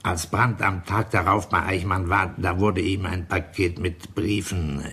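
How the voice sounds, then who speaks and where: An actor in a German radio play, playing a grave, formal negotiator with a husky voice and measured delivery. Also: male voice, deep voice, fairly even intonation, pushed and bright, full character voice. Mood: formal, insistent.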